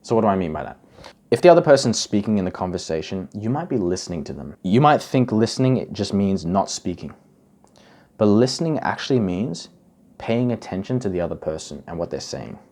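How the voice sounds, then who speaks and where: Chatty young man with a low voice. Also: sing-song, a little fast, warm tone. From Indonesia. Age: young adult. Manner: chatty, many fillers like "like" and "right?". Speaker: self-improvement YouTuber. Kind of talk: casual advice talk to camera.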